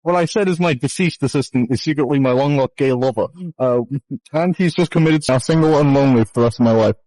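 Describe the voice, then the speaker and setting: A man's voice: mid-range voice, fast, dark tone, no echo, low-quality recording. Crude mock-showman man, dry close sound. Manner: mock showman patter, crude swearing, rambling. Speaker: puppet show performer. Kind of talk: improvised comedy puppet show in social VR. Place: online VR chat session.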